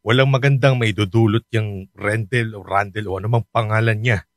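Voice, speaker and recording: A man's voice: on the low side, steady tone, moderate pace, no echo, studio quality, close to an everyday voice. A man playing a strict, worried father on a long-distance call, scolding in a radio drama. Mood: pleading. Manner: scolding, stern, exasperated.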